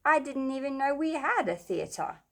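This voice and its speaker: Young boy played by a woman, children's story, sceptical, blunt, slightly high voice. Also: lively intonation, measured pace, a bit bright, heavily acted. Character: sceptical, blunt, food-loving schoolboy.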